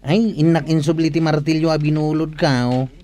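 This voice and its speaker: A man's voice: a little high, fairly even intonation, moderate pace, dark tone, dry recording, natural voice. A man playing an easygoing village neighbour in a comedy radio drama. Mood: mildly reproving.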